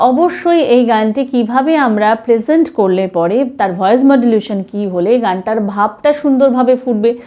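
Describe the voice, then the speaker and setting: A woman's voice: fairly high pitch, a little mellow. A woman giving an instructional singing lesson to viewers, explaining points in a teacher's manner. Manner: explanatory, with short hesitations and sung demonstrations. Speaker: vocal music teacher.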